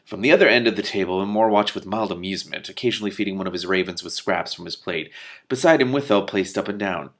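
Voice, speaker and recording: A man hosts a read-aloud in a low-pitched voice with heavy vocal fry. He reads expressively and drops out of the story into casual, amused commentary. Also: quick pace. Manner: amused, breaking off to comment and laugh. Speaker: host reading a novel aloud with commentary. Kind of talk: expressive book reading with casual reactions.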